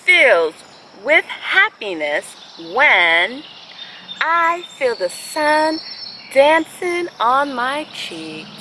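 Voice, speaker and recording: A woman hosting a children's storybook read-aloud, speaking in an expressive, deliberate way with drawn-out phrasing. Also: high voice, slight background noise. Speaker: host reading picture books aloud for children.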